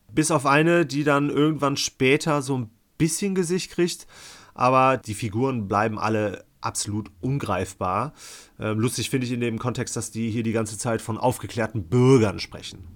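A man giving a casual, colloquial film review as a podcast co-host. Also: medium pitch.

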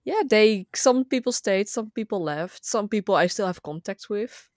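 A woman's voice: medium pitch, lively intonation, moderate pace, darkish tone, no room sound. A young woman talks casually as an interview guest. She is chatty and loose, often restarting her thoughts, and her voice is recorded dry with little room sound. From Netherlands. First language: Dutch. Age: young adult. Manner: chatty, rambling with repeated "like". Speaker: guest, gaming content creator. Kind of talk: casual chat.

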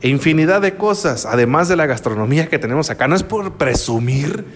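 A man's voice: on the high side, expressive, fairly quick, long reverb tail. A young man from Sinaloa, Mexico, with a Sinaloan accent, a radio announcer chatting casually and a little rambling, with fillers and laughs, on his podcast. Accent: northwestern Mexican Spanish (Sinaloa). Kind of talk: casual first-person podcast chat.

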